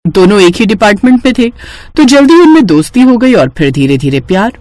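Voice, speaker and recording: Woman narrating with very lively intonation. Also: mid-range voice, fairly quick, no echo. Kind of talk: scripted Hindi family story narration.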